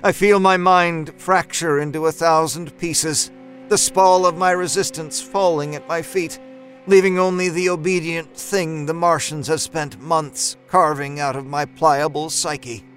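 A man reading first-person horror fiction as dramatic scripted narration, with a deliberate, theatrical delivery. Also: a little high, steady tone. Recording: narration over a music score. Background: soft musical score.

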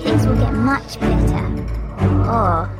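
An actress playing a mysterious, ominous, girlish lift operator in an audio drama trailer, speaking in a teasing, deliberate way. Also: a little high, animated, loud music behind the voice. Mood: sly menace.

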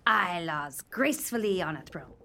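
A woman playing a taunting, cruel pirate in a comic radio play, with rough, ungrammatical seadog speech. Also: lowish voice, expressive, normal speed, pushed and bright, slight background noise. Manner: rough dropped-grammar pirate dialect. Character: taunting, cruel, mock-regal female member of pirate gang.

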